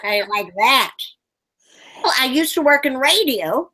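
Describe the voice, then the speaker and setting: Kobieta grająca gadatliwą 95-letnią babcię. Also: female voice, high-pitched, lively intonation, a little slow, pushed and bright, heavily acted, low-quality recording. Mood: chatty. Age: older. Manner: rambling, folksy, sighing. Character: chatty 95-year-old granny puppet, sleepy.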